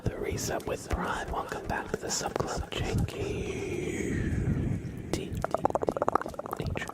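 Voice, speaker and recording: A man hosting an ASMR livestream, chatting softly and breathily to viewers, unhurried and often repeating words. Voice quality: whispered, breathy soft voice. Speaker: livestream host.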